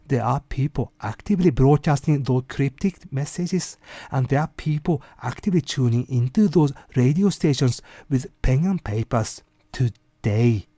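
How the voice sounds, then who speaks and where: Man with lively, swinging higher-pitched delivery. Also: no room sound, clean studio sound. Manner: conversational, rhetorical asides to the listener. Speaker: host of a weekly rant video show. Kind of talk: informal monologue commentary.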